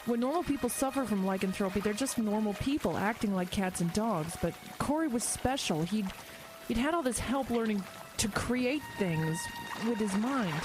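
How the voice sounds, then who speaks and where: A woman acting a frightened, compassionate investigator in an audio horror drama, earnest and halting under strain. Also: medium pitch, expressive, over music, lightly acted. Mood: urgent explaining.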